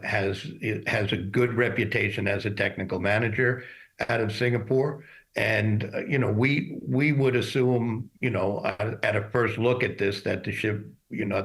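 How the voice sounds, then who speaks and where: A mature man giving unscripted expert commentary as a guest in a news interview, explaining in a halting, matter-of-fact way. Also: on the low side, fairly bright tone, low-quality recording. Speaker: shipping executive as expert guest.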